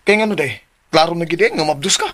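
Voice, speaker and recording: A man's voice: fairly high pitch, expressive, quick pace, a bit bright. Casual, evasive young man over music. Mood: dismissive, calming. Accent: Cebuano. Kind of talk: radio drama acting.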